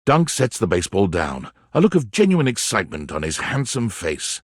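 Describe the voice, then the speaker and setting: A man playing a wry, theatrical narrator of a playful dating game, telling scenes in the second person with expressive, dramatic delivery. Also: fairly low pitch, normal speed, slightly rough, dry recording, studio quality, full character voice. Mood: gentle storytelling.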